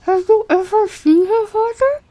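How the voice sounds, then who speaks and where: A sweet, innocent young she-wolf voiced by a woman with an extremely high, mellow voice. She sounds curious and inquisitive, like a chief's daughter in a fantasy audio drama. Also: unhurried, low-quality recording.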